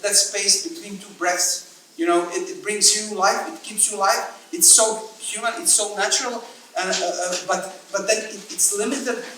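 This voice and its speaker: A man's voice: sits high, sharp tone, a little hiss or hum. A man, a writer at a literary event, speaking hesitantly and philosophically while searching for his words. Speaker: writer on a panel. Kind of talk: reflective conversation at a literary event. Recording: echoey room, mic far off.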